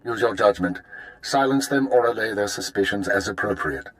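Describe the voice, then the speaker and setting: A man's voice: fairly low pitch, normal speed, fairly bright tone, lightly acted, low-quality recording. Man as cool, formal spymaster. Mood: cool, commanding. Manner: formal, clipped, authoritative. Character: cool, commanding male intelligence handler. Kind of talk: video game voice acting.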